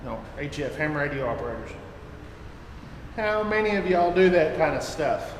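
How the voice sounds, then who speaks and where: A man's voice: a little high, lively intonation, moderate pace. An American man in his thirties, a trucker talking casually to camera in a rambling, folksy way. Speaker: trucker and video blogger. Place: inside an empty truck trailer, door open. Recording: echoey metal trailer, clear background noise.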